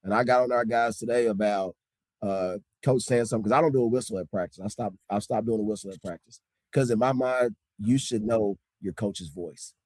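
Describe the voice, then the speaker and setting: A man, a high school basketball coach, talking casually in an interview, emphatic and repeating points for stress. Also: fairly quick, a little mellow, dry recording. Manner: strict, old-school tone.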